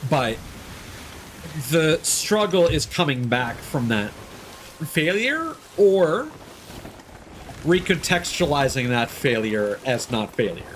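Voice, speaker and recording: A man's voice: fairly high pitch, very animated, takes it slowly, pauses often, a bit bright, a little hiss or hum. A man live streaming a game, casually chatting and thinking aloud in a reflective, rambling way. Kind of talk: casual chat with gameplay commentary.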